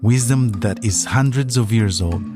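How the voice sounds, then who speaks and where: A man's voice: medium pitch, moderate pace, over loud music. A man hosting a podcast, narrating a scripted documentary.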